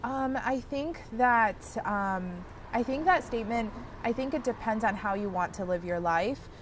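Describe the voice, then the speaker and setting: A woman's voice: fairly high pitch, animated, measured pace, distant mic, background noise. A woman in her twenties from Michigan, answering interview questions casually with many hesitant filler words. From Michigan, USA. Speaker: interviewee, medical student.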